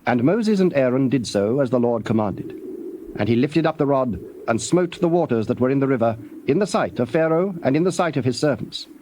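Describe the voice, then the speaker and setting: A man narrating dramatized scripture in a measured, formal way. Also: medium pitch, lively intonation, warm tone, slight background noise.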